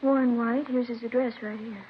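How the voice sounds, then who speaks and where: Actress as an offhand young woman, fairly high voice. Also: fairly even intonation, normal speed, close to an everyday voice. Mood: offhand, thoughtful. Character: casual, cooperative young college woman in her twenties. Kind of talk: 1950s radio drama acting. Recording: muffled, noisy vintage radio recording.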